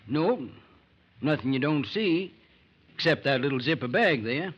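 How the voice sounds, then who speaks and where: A man's voice: fairly high pitch, animated, a little slow, slightly rough, dull audio. An actor playing an anxious, plain-spoken farmer and father in an old-time radio drama. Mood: helpful. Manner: plain-spoken, hesitant, rustic colloquial phrasing.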